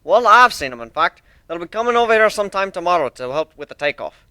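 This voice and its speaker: A man's voice: sits high, sing-song, fast, bright, forceful tone, clear, resonant tone, no room sound, voice pushed up, exaggerated voice. A man voicing a boastful, hearty minotaur airship builder in a dramatic story reading, with a brash, folksy way of talking. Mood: welcoming, hearty. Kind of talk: dramatic fan fiction reading.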